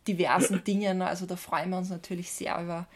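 A woman's voice: fairly low pitch, flat delivery, quick pace. A young woman with an Austrian accent chatting casually and enthusiastically as a podcast co-host.

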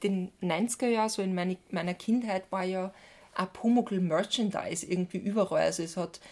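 A woman's voice: medium pitch, a little fast, darkish tone. A young woman with an Austrian accent chatting casually and enthusiastically as a podcast co-host.

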